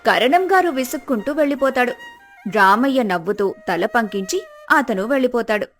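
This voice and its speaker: A woman's voice: on the high side, expressive, normal speed, faint music behind. A woman narrating a moral story in a scripted storytelling style.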